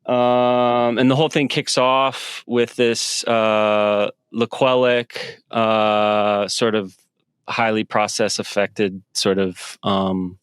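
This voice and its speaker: Male guest, smooth clear voice, dry close sound, halting casual delivery full of fillers. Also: lowish voice, little pitch movement, dry recording. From United States. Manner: halting, many fillers and restarts. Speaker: guest musician. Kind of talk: casual interview talk. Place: home studio with synth rack.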